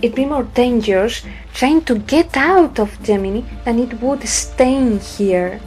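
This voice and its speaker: A woman acting in a sci-fi audio drama as a resolute, stubborn pregnant pilot, speaking calmly and firmly. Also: on the high side, soft background music, natural voice. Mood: resolute, reasoning. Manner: deliberate, firm.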